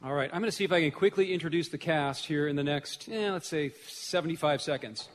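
A man with a high-pitched voice hosts from a stage, chatting loosely and wandering into asides. The recording has a long hall reverb, with an audience and plenty of noise around him. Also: fast. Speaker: event host introducing a cast. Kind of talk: casual off-the-cuff stage introductions. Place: large church hall, on stage. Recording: noisy echoing hall with audience. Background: audience and room noise.

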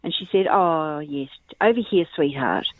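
A woman's voice: deep voice, animated, moderate pace, no echo. A woman calling into a radio show and telling a chatty anecdote, acting out the dialogue. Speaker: caller. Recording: phone line.

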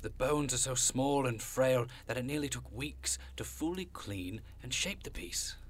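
Genteel, effusive devilish gentleman. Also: mid-range voice, expressive, a little slow. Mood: chatty. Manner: effusive, quaintly polite, theatrical. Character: genteel, hospitable, macabre devil-like gentleman cryptid. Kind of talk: audio drama acting.

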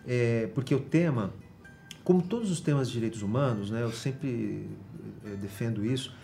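Brazilian professor explaining at length. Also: male voice, medium pitch, moderate pace, vocal fry, mellow, faint music behind. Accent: Brazilian Portuguese. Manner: explanatory, many fillers and restarts. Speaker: guest professor. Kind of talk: interview.

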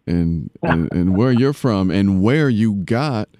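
A man's voice: on the low side, animated, takes it slowly, warm tone, no echo, clean studio sound. A man hosting a public affairs podcast, interviewing a guest in a casual, affirming way with frequent fillers. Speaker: podcast host.

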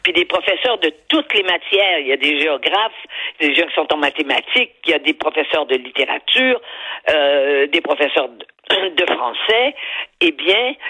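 Husky, forceful older Quebec woman commentator. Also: low voice, no room sound. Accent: Quebec French. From Quebec, Canada. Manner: emphatic, halting, digressive, rhetorical asides. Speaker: columnist and commentator on a talk show. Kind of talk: opinionated radio commentary in conversation.

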